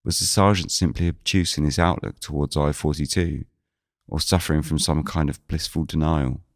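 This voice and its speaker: A man reading scripted first-person mystery narration in measured, formal phrasing. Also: very deep voice, steady tone, no room sound, clean studio sound. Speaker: narrator and lead of an audio drama.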